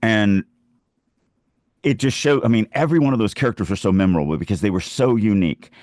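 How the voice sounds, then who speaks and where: Man, very deep voice, dry close sound, casual podcast talk, emphatic, illustrative. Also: quick pace, darkish tone, no echo. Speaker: podcast host and writing coach. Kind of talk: casual podcast chat about writing.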